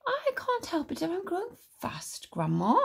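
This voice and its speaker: A woman's voice: very high voice, wildly swooping pitch, normal speed, gravelly, pitched above the performer's own voice, heavily acted. A woman voicing an eight-year-old boy who pleads and whines at his grandmother. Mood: pleading. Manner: whining, pleading.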